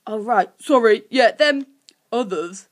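A pot-bellied storybook monster put on by a woman coaxes in muddled, broken grammar. The voice is high and bouncy, slow-witted and wheedling. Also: lively intonation, fairly bright tone. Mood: flustered.

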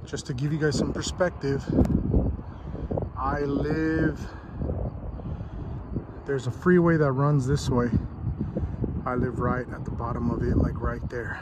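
A man's voice: mid-range voice, takes it slowly, long pauses, very noisy. A man in his thirties vlogging outdoors on a mountaintop at night, talking casually through a headset mic with frequent ums. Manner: relaxed, plain-spoken, frequent ums. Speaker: vlogger testing radios. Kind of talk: casual vlog talk to camera.

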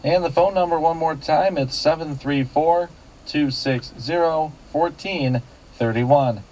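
Mellow male local radio host. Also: fairly high pitch, a little slow, poor audio. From United States. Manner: polite, repeats details back to callers. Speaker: radio call-in show host. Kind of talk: local radio classifieds call-in hosting.

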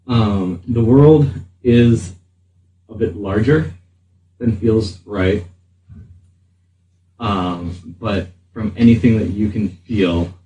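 A man improvising narration as game master of a tabletop game, halting and deliberate. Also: on the low side, warm tone. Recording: roomy, distant mic, faint noise.

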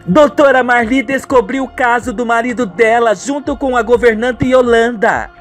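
A man's voice: extremely high pitch, moderate pace, a bit bright, soft background music, voice pushed up. A man voicing a melodramatic, exclamatory young woman in a radio soap. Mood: gossipy. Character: young woman, tender, scheming or gossipy, melodramatic.

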